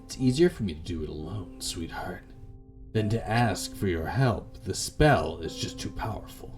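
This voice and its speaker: A man's voice: low-pitched, lively intonation, normal speed, over music. A man voicing a wary, anxious young woman who is trapped, in a visual novel game. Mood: coaxing.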